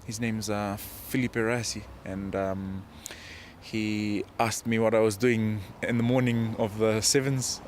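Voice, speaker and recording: A man in his twenties with a Fijian accent answers interview questions as a rugby player, in a casual, modest and halting way. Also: lowish voice, level tone, a little hiss or hum. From Fiji. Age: 20s. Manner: hesitant, modest, halting delivery.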